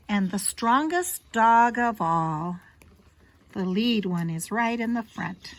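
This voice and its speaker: A woman reading a children's picture book aloud in an expressive, teacherly way, with simple asides to young listeners. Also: a little high, no echo.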